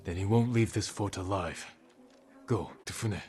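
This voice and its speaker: An actor playing a resolute samurai in a video game, speaking in a grave, terse, commanding way. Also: male voice, low voice, a little slow, darkish tone. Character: stern, resolute male samurai hero. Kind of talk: video game drama acting.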